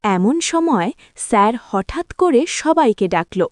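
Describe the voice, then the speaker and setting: A woman's voice: fairly high pitch, sing-song, slow, no echo. Woman narrating a children's story, dry sound.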